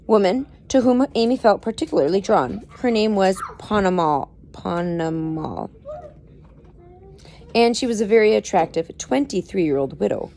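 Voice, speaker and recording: A woman's voice: medium pitch, mellow, a little hiss or hum. A woman reading a book aloud expressively, with dramatic emphasis and informal explanatory asides. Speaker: parent reading aloud to children.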